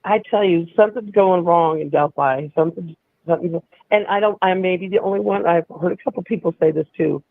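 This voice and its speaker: A man with a raspy voice, hoarse from being sick, chatting casually as a podcast co-host in a halting, agreeable way. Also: high-pitched, dry recording. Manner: halting, restarts often, agreeable. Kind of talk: casual chat. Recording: tinny phone line.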